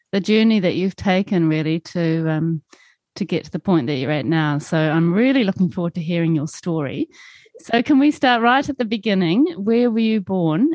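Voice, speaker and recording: A woman's voice: fairly low pitch, a little mellow, dry recording. A woman interviewer asking friendly questions and affirming her guest encouragingly. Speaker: interviewer, host.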